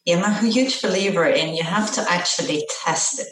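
A woman's voice: on the low side, a little fast, a bit bright. A middle-aged woman from Austria, a parent coach, chatting earnestly and cheerfully in an interview. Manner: earnest, upbeat, laughs easily. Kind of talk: casual interview chat. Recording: echoey room, voice a bit far from mic.

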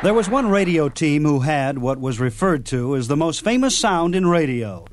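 A man with a slightly high voice presents as a radio host. He reads scripted introductions to excerpts in a measured presenting style. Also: very animated, a loud crowd, very noisy. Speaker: host of old-time radio program. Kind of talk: scripted narration introducing clips.

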